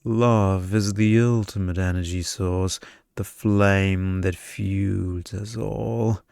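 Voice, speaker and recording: A man voicing a boring, seductive male energy vampire in a storybook, with a murmuring, hypnotic monotone. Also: deep voice, slow, vocal fry, dry recording, clean studio sound, exaggerated voice. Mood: seductive, musing. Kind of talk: comic ASMR romance storybook reading.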